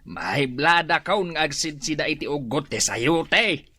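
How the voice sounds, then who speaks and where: A scolding parent from an Ilocano radio drama. The voice is fairly low yet sharp and animated, grumbling and exclaiming with an Ilocano accent. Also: female voice, measured pace, no echo, full character voice.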